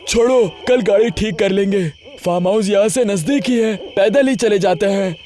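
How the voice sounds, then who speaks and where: Man acting a practical, high-voiced young traveller. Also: normal speed, dark tone, lightly acted. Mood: matter-of-fact. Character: young male traveller, practical, unfazed, in horror tale. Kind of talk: audio horror story acting.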